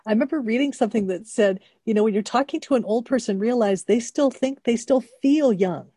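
A woman's voice: on the high side, fairly quick, mellow, breathy edge, no echo, studio quality. A woman in her sixties co-hosting a casual chat, explaining her points thoughtfully and laughing easily. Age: 60s. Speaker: co-host.

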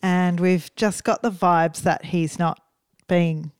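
A woman's voice: on the low side, steady tone, takes it slowly, smooth, no room sound, clean studio sound. A woman co-hosting a podcast from home, chatting in an upbeat way and laughing easily. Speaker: podcast co-host. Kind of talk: casual podcast chat. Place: lounge room at home.

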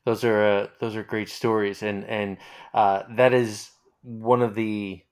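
Podcast-style host, male, lowish pitch, conversational and halting, often restating words while forming questions. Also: level tone, a little mellow, clear, resonant tone. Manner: halting, repeats phrases while thinking. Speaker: interviewer, host. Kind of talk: conversational interview.

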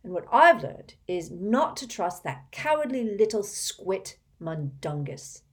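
A wry, blunt teenage boy wizard, read by a woman narrating a storybook. His dry delivery swings up and down with a lively melody. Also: mid-range voice, slow pace, voice pushed up.

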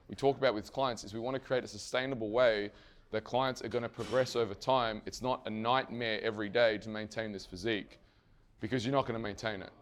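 A man losing his voice gives a lively fitness seminar to trainers and keeps asking the room questions. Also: mid-range voice. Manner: energetic, asks the audience questions and answers them himself; swears casually. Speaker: fitness coach presenting to trainers. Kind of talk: live seminar presentation.

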